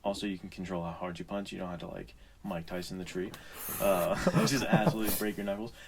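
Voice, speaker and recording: Really low voiced guy on a podcast, casual and encouraging, slight ambient noise behind. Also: fairly even intonation, fast, vocal fry. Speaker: podcast co-host. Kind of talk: casual chat.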